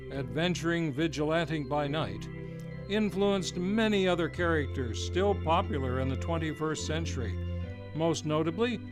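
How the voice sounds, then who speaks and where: A man, hosting and introducing an old-time radio hour in a showman announcer manner. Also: fairly high pitch. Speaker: radio show host. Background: music.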